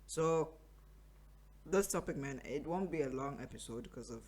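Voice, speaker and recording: A man's voice: mid-range voice, expressive, moderate pace. A man of twenty-three, hosting a podcast as a casual motivational monologue and repeating phrases for emphasis. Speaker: podcast host.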